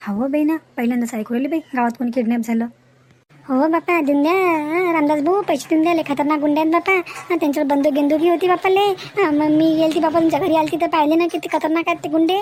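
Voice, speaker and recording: A woman's voice: sits high, steady tone, quick pace, a little mellow, a little hiss or hum, natural voice. A woman voicing a fretful, homely village housewife in a cartoon comedy. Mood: alarmed. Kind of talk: Marathi cartoon comedy dialogue.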